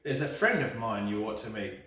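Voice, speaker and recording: A man's voice: lowish voice, expressive, fairly quick, fairly bright tone, voice pushed down. A man voicing a small, cunning, bargaining mouse in a persuasive storybook read-aloud style. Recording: echoey room, distant mic.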